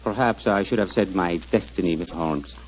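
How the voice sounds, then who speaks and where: An actor playing a courteous, devoted man with a formal, measured manner in a 1940s radio drama. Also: deep voice. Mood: solemn. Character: solemn, courteous, devoted Egyptian man on a quest. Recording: muffled, lo-fi 1940s radio recording.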